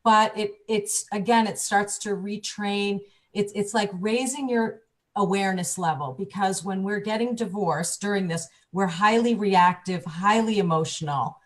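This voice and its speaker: A woman working as a divorce coach, explaining calmly on a talk panel, often restarting her sentences. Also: mid-range voice, unhurried. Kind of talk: panel discussion.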